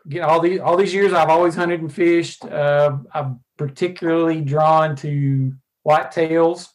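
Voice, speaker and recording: A man in his fifties with an Arkansas accent, a podcast guest speaking thoughtfully and in measured phrases with frequent pauses. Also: a little high, fairly even intonation. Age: 50s. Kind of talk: reflective podcast conversation.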